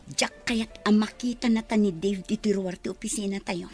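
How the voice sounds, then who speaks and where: An actress playing a hurt, indignant young office worker betrayed by a married lover in an Ilocano radio drama. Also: female voice, medium pitch, steady tone, moderate pace, darkish tone, soft background music, lightly acted. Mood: uneasy, resentful.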